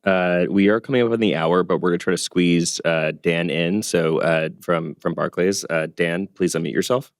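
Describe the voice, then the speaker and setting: Smooth, low-voiced male moderator. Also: fairly even intonation, normal speed, hardly any pauses, no echo, clean studio sound. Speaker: call moderator. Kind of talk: moderating an earnings call.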